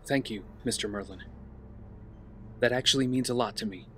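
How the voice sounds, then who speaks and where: An actor playing an earnest, guarded young vigilante in an audio drama, speaking in a reserved, terse way. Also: male voice, medium pitch, moderate pace, a bit bright, slight background noise, lightly acted. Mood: guarded, courteous. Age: young adult.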